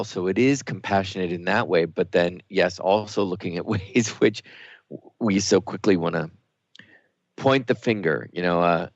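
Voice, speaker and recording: A man's voice: fairly low pitch, no room sound, dull audio. A man hosting a radio show in a conversational style.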